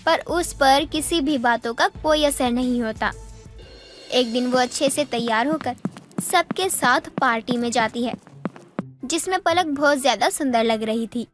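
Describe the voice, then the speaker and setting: A woman narrating a scripted moral story. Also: high voice.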